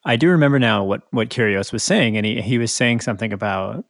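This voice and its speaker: A man with a fairly low voice, recorded dry without room sound. He chats casually and analytically about sports as one of a podcast's hosts. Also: a little fast, no room sound. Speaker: podcast co-host.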